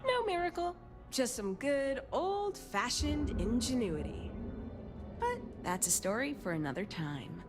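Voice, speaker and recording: An actress voicing a coy, polished hotel keeper with a teasing manner in a science fiction video game. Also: female voice, high-pitched, sing-song, measured pace, over music. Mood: coy, confiding. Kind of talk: video game character dialogue.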